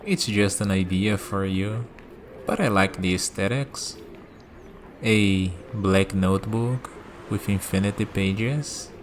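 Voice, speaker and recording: A man playing a calm, curious villain in a scripted ASMR roleplay, musing and dryly teasing as he talks. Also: lowish voice, slow, slight background noise. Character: calm, curious young male villain bargaining with a death god.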